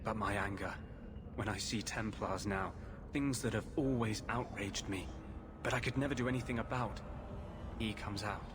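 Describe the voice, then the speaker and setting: An actor playing a brooding, tormented rebel mage in a video game, speaking earnestly and hesitantly, as if confessing. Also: male voice, lowish voice, moderate pace, background noise, close to an everyday voice. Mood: bitter, anguished. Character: brooding, tormented rebel mage sharing his body with a spirit. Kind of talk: video game cutscene acting. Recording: music behind the voice.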